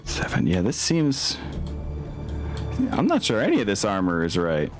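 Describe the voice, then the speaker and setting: A man's voice: fairly low pitch, huge pitch swings, unhurried, music in the background. A man acting as game master, chatting casually and narrating bits of a tabletop game.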